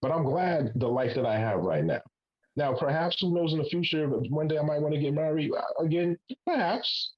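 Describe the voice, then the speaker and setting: A man's voice: a little high, lively intonation, fairly quick, lo-fi sound. A man in his forties hosting a podcast panel, making his point emphatically and swearing casually. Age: 40s. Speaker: podcast host.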